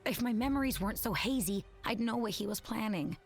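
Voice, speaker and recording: A woman's voice: fairly high pitch, animated, brisk. A woman voicing an earnest, thoughtful young female space crew member in a video game. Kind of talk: video game cutscene acting. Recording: game cutscene with loud music behind.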